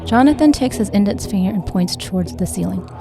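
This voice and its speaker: A woman's voice: medium pitch, lively intonation, a little fast, gravelly, over music. A woman reading a story aloud as scripted narration, in the role of narrator. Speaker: audiobook narrator and actor.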